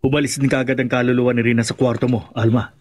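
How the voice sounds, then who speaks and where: An actor plays an earnest man in a radio horror play, the careful ally who warns. He talks quickly at a medium pitch, over music. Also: flat delivery, darkish tone, lightly acted. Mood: wary warning. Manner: earnest, warning. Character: cautioning male ally of the heroine. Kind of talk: radio drama acting.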